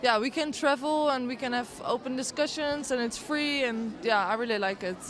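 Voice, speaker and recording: A woman's voice: on the high side, moderate pace, background noise. A woman in her twenties from the Netherlands, answering a street interview in an unsure, hesitant way. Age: 20s.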